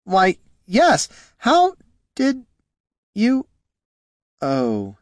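A man voicing a fretful, bookish young priest with a flustered, halting manner in a storybook. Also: high voice, sing-song, no room sound, clean studio sound, pitched above the performer's own voice, exaggerated voice. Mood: nervous dismay. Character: fretful, bookish male young priest leafing through handbook.

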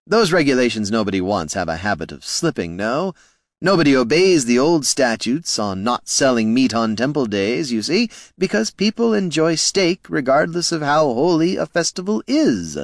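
A man doing a high, oily gnome schemer. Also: sing-song, normal speed, no echo, studio quality. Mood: insinuating. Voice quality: oily, mock-polite. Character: sly smug male gnome schemer, genteel.

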